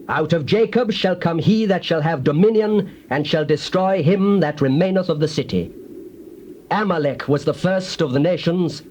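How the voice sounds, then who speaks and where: An actor playing a solemn, defiant prophet in a dramatized audio Bible, declaiming grand oracles like incantations. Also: male voice, a little high, darkish tone, lightly acted. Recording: hissy old cassette tape.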